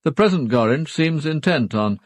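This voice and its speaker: An actor playing a formal, upper-class British knight in a radio drama, speaking in a clipped, matter-of-fact way. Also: male voice, fairly high pitch, animated, moderate pace, no echo, close to an everyday voice. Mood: grave, explaining. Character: formal upper-class husband, a titled knight hiring a detective.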